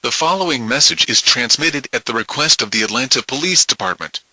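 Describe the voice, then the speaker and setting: A man's voice: mid-range voice, pushed and bright, dry recording, rough recording. A man reading a scripted emergency bulletin as an announcer, formal and impersonal.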